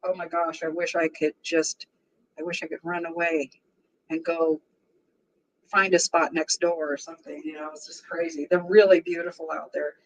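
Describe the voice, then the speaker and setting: A woman's voice: low voice, flat delivery, normal speed, a little hiss or hum, poor audio. A woman in her sixties with a strong voice, hosting a casual livestream and chatting easily with her viewers, often laughing. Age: 60s. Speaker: livestream host.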